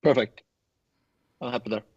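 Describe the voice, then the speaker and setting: A man asking a question as a financial analyst on a conference call, in halting phrases over a dull line. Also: mid-range voice, steady tone, a little mellow, husky, dry recording.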